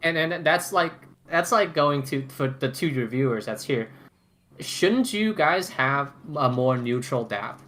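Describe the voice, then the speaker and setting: A man in casual podcast banter, halting and repeating words as he teasingly challenges the others. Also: mid-range voice, expressive, fairly bright tone. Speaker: podcast panelist.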